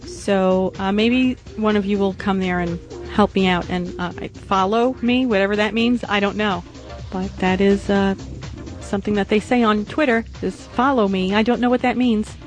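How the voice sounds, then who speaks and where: A woman's voice: medium pitch, steady tone, moderate pace, faint music behind. Rambling, crude, nasal-sounding woman host. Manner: crude, joking, rambling with many fillers. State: nasal, just woken up. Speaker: podcast host. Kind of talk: casual rambling chat.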